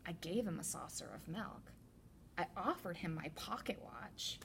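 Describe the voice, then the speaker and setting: Lady librarian doing a kids read aloud, bit of fry in her voice, expressive, faint noise behind. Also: fairly low pitch. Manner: deliberate, expressive storytelling to children. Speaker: teacher librarian. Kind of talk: children's picture book read-aloud.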